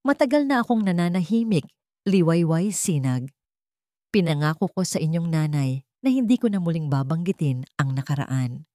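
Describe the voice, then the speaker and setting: A woman voicing a sorrowful older aunt who speaks gravely and deliberately of family secrets in an audio story. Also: low voice, sing-song, mellow, no room sound, studio quality. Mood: sorrowful, reluctant.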